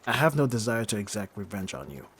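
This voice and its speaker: A man playing a bitter, hardened young thief in an ASMR roleplay, speaking with mockingly courteous, formal phrasing and pointed pauses. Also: lowish voice, big pitch swings, fairly bright tone, a little hiss or hum. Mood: controlled, sardonic. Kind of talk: scripted ASMR roleplay acting.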